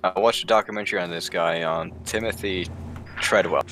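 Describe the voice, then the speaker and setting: A man's voice: sits low, steady tone, a little fast, fairly bright tone, faint music behind. A man co-commentating a strategy game match in a chatty way, wandering into rambling asides. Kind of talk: casual co-commentary.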